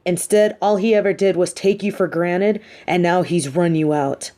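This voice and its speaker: A woman playing a coolly menacing, manipulative but sympathetic supervillain in a scripted audio roleplay, speaking deliberately with theatrical condescension. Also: lowish voice, fairly even intonation, mellow, close to an everyday voice. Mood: cold persuasion.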